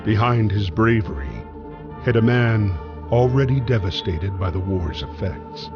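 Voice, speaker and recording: An actor playing the solemn narrator of a wartime story in a video game, in a scripted style. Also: male voice, on the low side, animated, unhurried, highs cut off. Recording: music behind the voice.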